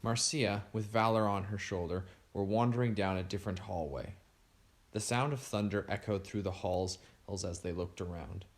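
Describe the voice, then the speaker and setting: A man narrating a storybook aloud in a steady reading voice. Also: lowish voice, moderate pace.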